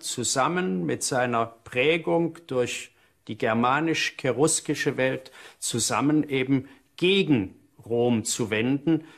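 A man giving deliberate, explanatory commentary as an expert interviewed for a documentary. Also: mid-range voice.

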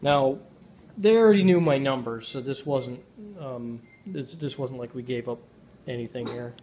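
A man's voice: medium pitch, normal speed, a little mellow. Man, home vlogger, dry sarcastic delivery, casual, faint noise in a kitchen recording. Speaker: amateur vlogger. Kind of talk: casual chat to camera. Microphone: handheld camera or phone.

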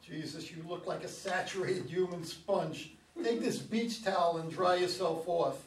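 A man's voice: sits high, unhurried. An older man playing a weary, uneasy driver, reflective and wry, in a staged play reading. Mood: storytelling. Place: meeting room, around a table. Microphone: far camera. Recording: distant mic, echoey room, background noise.

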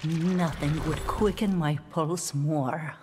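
Low, sultry blood sorceress. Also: female voice, fairly even intonation, slow pace, background noise. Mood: cool menace. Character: predatory, sultry female blood sorceress assassin. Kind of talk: video game character acting.

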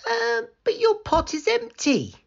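A man's voice: very high-pitched, wildly swooping pitch, a little slow, vocal fry, dull audio, pitched above the performer's own voice, heavily acted. A man voicing a hungry, kind young girl in a children's storybook, speaking eagerly and politely. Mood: eager, puzzled.

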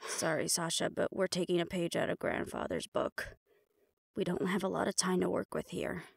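A woman's voice: lowish voice, flat delivery, normal speed, creaky, breathy edge, dry recording, clean studio sound. A woman playing a tough, threatening young supernatural woman in an audio roleplay, blunt and sardonic. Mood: menacing.

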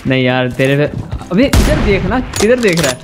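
A young Pakistani man in his twenties talks in a casual, slightly high voice. He sounds like a gaming streamer on a prank call, with loud music playing behind him. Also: sing-song, normal speed. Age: 20s. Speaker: gaming YouTuber and prank caller. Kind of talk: casual chat and prank calling. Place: indoor gaming room.

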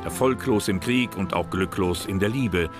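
A man narrating a history documentary drama in a measured, serious way. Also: low voice. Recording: narration over loud music.

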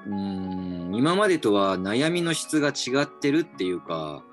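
A man's voice: fairly low pitch, sing-song, a little slow. An actor plays a polite, reflective company man in a voice drama. He muses and trails off with a wry, slightly downcast air. His intonation swings widely, and light music sits behind him. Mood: dejected, musing. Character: reflective male company employee, wry and a little dejected. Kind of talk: voice drama acting with inner narration.

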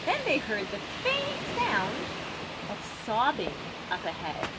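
A woman in her thirties reading a children's story like a teacher, expressive and instructive, outdoors. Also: on the high side, takes it slowly, heavy background noise. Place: open seafront, outdoors. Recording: loud ocean surf behind voice.

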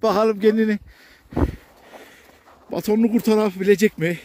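A man's voice: sits high, steady tone, normal speed, darkish tone. A man chatting casually to viewers and companions as a jokey, exclamatory vlogger out in the open while on the move. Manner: active, talking while snowboarding. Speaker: vlogger presenting a ski slope. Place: outdoors on a steep ski slope.

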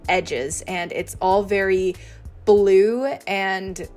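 Woman calmly describing a painting. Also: mid-range voice, drawn-out words, soft background music. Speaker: friend describing visuals. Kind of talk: descriptive voice-over.

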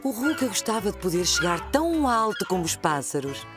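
A woman's voice: medium pitch, sing-song, a little fast, music in the background. A woman with a European Portuguese accent narrating a children's story.

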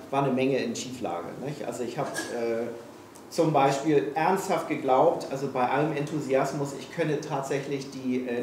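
A man's voice: medium pitch, a little mellow. A middle-aged man from Hamburg, speaking frankly and with self-mockery to a live audience, full of hesitations. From Hamburg, Germany. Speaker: speaker at a failure-stories evening. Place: small event room with audience. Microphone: room PA, recorded from a distance. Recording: echoey room, distant mic, faint noise.

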